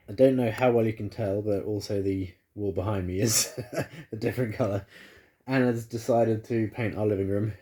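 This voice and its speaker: Man, home vlogger, congested, rambling delivery, low mellow tone, phone-recorded in living room. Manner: rambling, many fillers and restarts. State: congested after flu. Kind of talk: casual chat to camera. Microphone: phone camera.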